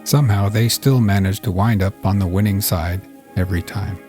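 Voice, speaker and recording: A man narrating a scripted story as a fiction podcast host, with a measured storytelling delivery. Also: on the low side, dark tone, faint music behind.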